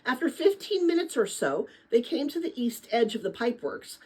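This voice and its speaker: Female storybook narrator, animated and expressive, with a husky grain, reading middle-grade fiction dramatically. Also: on the high side, normal speed, rough recording. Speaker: read-aloud narrator.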